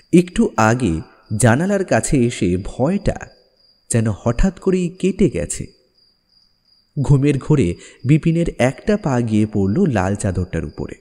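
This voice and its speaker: A man's voice: mid-range voice, warm tone. A man narrating a scripted ghost story in a dramatic, suspenseful manner. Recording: long reverb over background music. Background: music.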